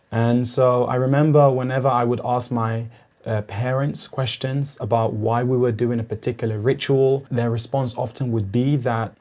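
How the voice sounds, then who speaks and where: A man's voice: medium pitch, dark tone. A young man from East Africa, a podcast guest telling his spiritual journey in an earnest, searching way. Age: young adult. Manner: earnest, searching, builds to intensity. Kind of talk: reflective personal story.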